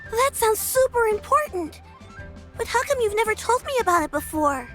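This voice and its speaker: A brave young girl from a game,, with a very high voice. She is polite and earnest and speaks with warm sincerity. Soft music plays behind her. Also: very animated, moderate pace, heavily acted. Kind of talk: video game voice acting.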